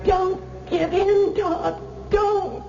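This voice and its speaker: A woman's voice: extremely high pitch, steady tone, drawn-out words, creaky, music in the background, full character voice. A woman playing a brave, stubborn captive wife, intense and defiant, in old-time radio thriller style. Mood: desperate defiance. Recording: dull, hissy old radio recording.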